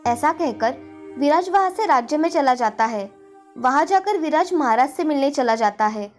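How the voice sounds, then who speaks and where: A woman's voice: high voice, lively intonation, normal speed. A woman storyteller giving scripted narration of a children's fairy tale. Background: faint background music.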